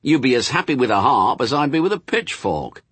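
A man's voice: on the high side, sing-song, measured pace, husky, dry recording, pitched above the performer's own voice, full character voice. A man voicing a sardonic, worldly, persuasive demon with a punchy, insistent, colloquial manner in an audiobook story.

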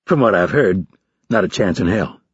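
A man's voice: sits low, normal speed, breathy edge, no echo, muffled recording, exaggerated voice. A man playing a confident young male recruit in an audiobook, answering respectfully and without flinching. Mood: matter-of-fact. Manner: calm, respectful, matter-of-fact. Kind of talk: audiobook character voice.

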